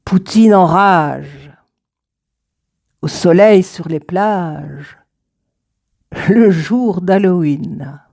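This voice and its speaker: Female poet for recited verse: slightly low, dark and mellow, deliberate phrasing with weighty pauses. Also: creaky. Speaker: poet reading own work. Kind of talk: slow recited poetry reading.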